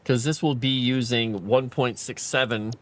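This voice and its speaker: A man's voice: mid-range voice, steady tone, measured pace, fairly bright tone. A tired man streaming a game late at night, thinking aloud and chatting with viewers. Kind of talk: casual gameplay live stream commentary.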